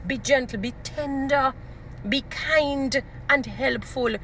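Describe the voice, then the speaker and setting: A woman's voice: high voice, takes it slowly, fairly bright tone, a little hiss or hum. A woman with a Jamaican accent and a strong voice, giving informal, emphatic advice in a preachy way, repeating short phrases for emphasis. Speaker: relationship advice speaker. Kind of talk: informal motivational advice talk.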